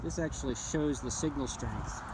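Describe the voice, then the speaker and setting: A man giving a casual, off-the-cuff product demonstration, speaking haltingly and thinking aloud as he goes. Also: medium pitch. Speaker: amateur radio hobbyist reviewing handheld radios. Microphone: handheld phone camera. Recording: noisy phone video with clicks.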